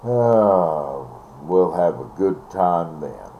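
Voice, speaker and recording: An older man chatting casually and ramblingly, halting and repeating words, recorded on a device mic in a bedroom. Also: low voice, animated, vocal fry. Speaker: vlogger. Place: small bedroom, indoors. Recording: dull, noisy webcam audio.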